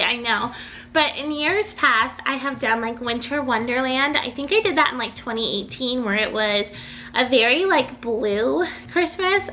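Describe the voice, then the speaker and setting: A woman's voice: sits high, pushed and bright. A young adult woman chatting in a rambling, upbeat way as a home decor vlogger, her voice a little off while she gets over being sick. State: recovering from illness, voice a little off. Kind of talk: casual chatty vlog.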